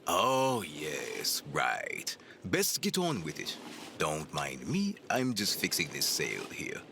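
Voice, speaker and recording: An actor playing a gruff, amused male sailor who teases in an unhurried way. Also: extremely low pitch, huge pitch swings, bright, forceful tone, a little hiss or hum, full character voice. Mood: teasing, amused. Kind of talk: audio drama acting.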